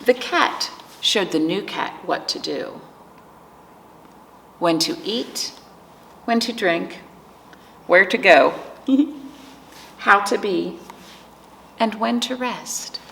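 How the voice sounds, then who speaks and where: Slow, very gravelly-voiced woman librarian. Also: medium pitch, animated, a little hiss or hum. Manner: gentle, speaks directly to children with simple questions. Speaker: children's librarian, storytime host. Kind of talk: virtual storytime, reading a picture book aloud to kids.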